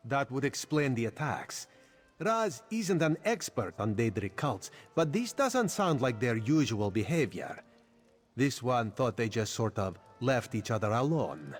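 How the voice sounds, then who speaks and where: A wily feline spy in a fantasy game, with music underneath. The delivery is theatrical and wry, swooping expressively in pitch as he speaks of himself in the third person. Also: husky, full character voice. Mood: worried, resolute. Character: wily male cat-man spy, wry, loyal, dramatic. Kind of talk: video game character dialogue.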